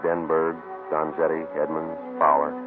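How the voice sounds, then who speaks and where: An actor playing a sober, matter-of-fact American police sergeant, with clipped deadpan narration in an old radio detective drama. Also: male voice, a bass voice, normal speed. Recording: lo-fi old radio recording with music.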